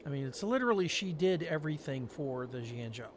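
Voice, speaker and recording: A man's voice: a little high, lively intonation, fairly quick, a little hiss or hum. A man giving casual live commentary as he streams a game playthrough. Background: game music.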